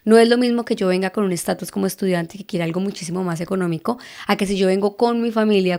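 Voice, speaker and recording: A woman's voice: medium pitch, creaky, a little mellow. A woman in her thirties from Colombia with a Bogotá accent, hosting a podcast and explaining in a chatty way, often checking with listeners. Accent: Bogotá Colombian Spanish. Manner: chatty, asks listeners for agreement with frequent tag questions. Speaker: podcast host. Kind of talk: casual explanatory podcast talk. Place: living in Texas.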